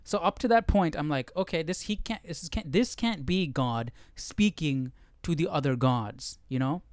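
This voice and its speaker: A man's voice: fairly high pitch, lively intonation, moderate pace, no echo, clean studio sound. A man hosting a live Bible study, reading aloud and thinking through it in casual talk.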